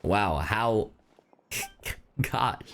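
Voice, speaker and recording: A game streamer giving casual livestream reactions, playful, exclamatory and quick to swear. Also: medium pitch, expressive, a bit bright, a little hiss or hum.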